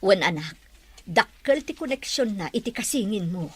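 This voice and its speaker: Teasing, fond middle-aged mother played by a woman, Ilocano accent, animated husky voice, radio drama. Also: medium pitch, moderate pace.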